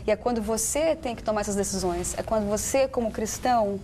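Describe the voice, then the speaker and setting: Young Brazilian woman, fluent and explanatory, mid pitch. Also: brisk, warm tone, slight background noise. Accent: Brazilian Portuguese. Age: young adult. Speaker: nursing student. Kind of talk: casual panel chat.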